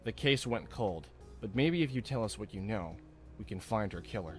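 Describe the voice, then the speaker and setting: Guy voicing matter-of-fact detective type in a mystery game, kinda low voice, music under. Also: animated, moderate pace, natural voice. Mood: grave. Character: blunt male investigator in a mystery game. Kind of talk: visual novel dialogue.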